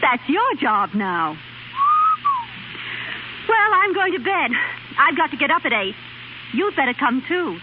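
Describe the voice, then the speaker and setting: A woman's voice: high voice, sing-song, measured pace, pauses often. A woman acting a determined, eager young wife in a 1930s radio drama. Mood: brisk. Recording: tinny, hissy 1930s radio recording.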